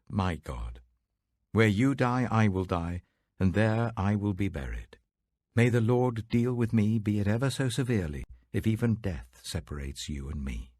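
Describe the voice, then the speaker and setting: A devoted young widow played by a British man in a scripture audiobook, speaking with gentle reverence and earnest pleading. The voice is very husky, with wide swings in pitch and a dry, close sound. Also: no room sound, exaggerated voice.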